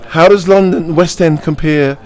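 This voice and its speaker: A middle-aged man who plays in pantomime answers interview questions in a bright, theatrical way. His voice sits a little above the usual male pitch, and he keeps cracking jokes and laughing as he talks. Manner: jokey, laughing often, theatrical. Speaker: pantomime actor.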